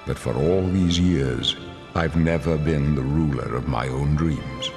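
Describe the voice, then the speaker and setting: An actor playing a weary, solemn aged emperor in a video game, narrating in a grave, stately, measured manner. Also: male voice, rumbling low, vocal fry, heavily acted. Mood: solemn foreboding. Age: older. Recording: long reverb under loud music.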